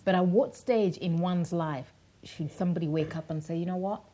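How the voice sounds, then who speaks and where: A woman's voice: on the low side, normal speed, mellow. A woman hosting a TV studio interview, asking probing questions and chatting along as she finishes her guest's sentences. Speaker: TV host.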